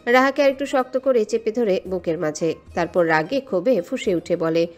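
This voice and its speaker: A woman's voice: mid-range voice, expressive, normal speed, a little mellow. A woman narrator reading a scripted romantic story. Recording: voice over a music bed.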